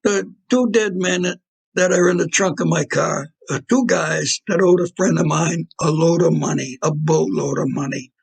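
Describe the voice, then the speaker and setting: Man playing a gravelly, high-pitched, blunt mob fixer. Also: normal speed, exaggerated voice. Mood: matter-of-fact, menacing. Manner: blunt streetwise slangy talk. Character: gruff, menacing mob fixer boyfriend, irritable and blunt. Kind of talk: audio play acting.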